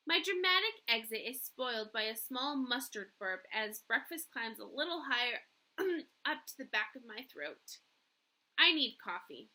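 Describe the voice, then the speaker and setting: Woman teacher reading a kids novel out loud, high bright voice, expressive. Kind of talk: expressive storybook narration.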